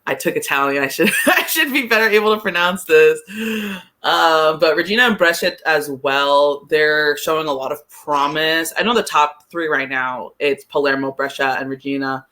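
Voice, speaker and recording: Bright-voiced woman chatting about sports. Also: low voice, moderate pace. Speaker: podcast guest, soccer pundit. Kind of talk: casual sports chat, giving predictions.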